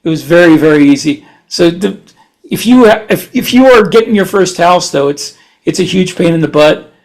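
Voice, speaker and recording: A man's voice: sits high, lively intonation, moderate pace. A man chatting informally as a co-host on a podcast. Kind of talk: casual podcast chat.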